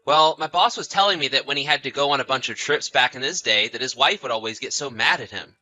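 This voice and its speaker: A man's voice: medium pitch, fast, pushed and bright, soft background music, rough recording. A man playing an anxious, trusting husband in a scripted audio story, apologetic and full of questions. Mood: probing. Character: anxious, trusting husband often away on business.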